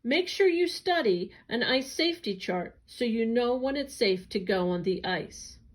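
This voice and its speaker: A woman reading an educational newsletter aloud in careful, clearly separated phrases. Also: on the high side, animated, unhurried, low-quality recording. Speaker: agency staff reader.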